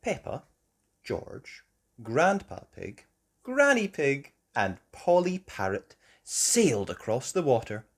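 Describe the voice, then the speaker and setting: A woman narrating a children's storybook aloud in an expressive, measured way. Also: extremely low pitch, slightly rough.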